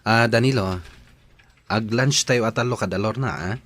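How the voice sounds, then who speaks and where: A man's voice: on the low side, moderate pace, fairly bright tone, no room sound. A man with a Filipino accent playing a polite, easygoing office boss in a radio drama. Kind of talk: Ilocano radio drama acting.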